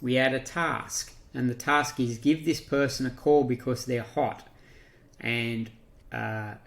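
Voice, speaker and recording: An Australian man giving an unscripted software walkthrough, talking through each step haltingly as he thinks aloud. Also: mid-range voice, fairly even intonation, creaky. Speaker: software tutorial presenter. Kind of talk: unscripted screen-share walkthrough.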